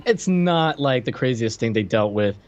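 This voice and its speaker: A man's voice: medium pitch, animated, moderate pace, a little mellow. A man co-hosting a podcast in casual, informal chat over a slightly lo-fi recording. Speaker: podcast co-host. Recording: slightly dull, lo-fi microphone.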